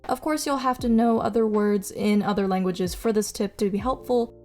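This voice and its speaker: A woman's voice: mid-range voice, moderate pace. A young woman whose first language is Mandarin, chatting casually in a vlog voice-over about how she studies, with plenty of likes and ums. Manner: chatty, self-deprecating, lots of likes and ums. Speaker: vlogger teaching her own study method. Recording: soft music behind.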